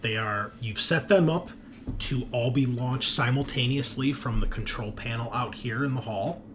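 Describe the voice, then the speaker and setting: A man narrating a tabletop roleplaying game as game master, in a conversational, halting way with emphatic swells. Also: mid-range voice. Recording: clear background noise.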